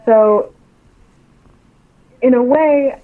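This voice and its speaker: A woman's voice: sits high, very slow, phone-quality sound. A woman answering interview questions as a publisher, explaining things casually over a remote call.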